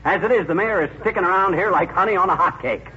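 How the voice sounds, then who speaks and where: An actor playing a wisecracking, exasperated, folksy husband with slangy bluster, in 1940s radio comedy style. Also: male voice, high-pitched, fast. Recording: old radio recording, dull, loud noise.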